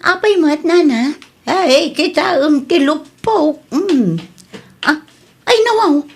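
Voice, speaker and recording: A woman's voice: sits high, animated, slow pace, full character voice. A woman acting an indignant, complaining neighbour in an Ilocano comedy radio drama, with an Ilocano accent and lots of exclaiming. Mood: aggrieved.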